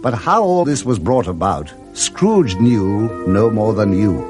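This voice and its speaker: A man narrating a literary tale for 1960s radio drama in a theatrical, expressive storytelling manner. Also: lowish voice, a little slow, soft background music.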